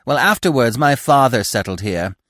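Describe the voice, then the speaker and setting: A man voicing a chatty, fussy, excitable squirrel in a storybook, gushing and emphatic. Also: medium pitch, normal speed, no echo, clean studio sound, pitched above the performer's own voice, close to an everyday voice. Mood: chatty, sociable.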